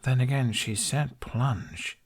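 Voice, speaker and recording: A man playing an awkward, earnest young dragon rider in an audiobook, halting and self-justifying. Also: medium pitch, slightly rough, pitched above the performer's own voice. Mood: hesitant.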